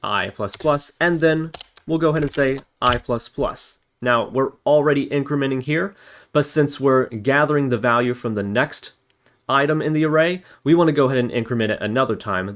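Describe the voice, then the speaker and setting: A man's voice: mid-range voice, moderate pace, fairly bright tone. A man giving an informal coding tutorial, thinking aloud as he works through each step. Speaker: programming tutorial instructor. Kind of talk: casual screencast coding tutorial, talking through steps while typing. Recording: background hiss, keyboard clicks and typing. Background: computer keyboard typing and mouse clicks.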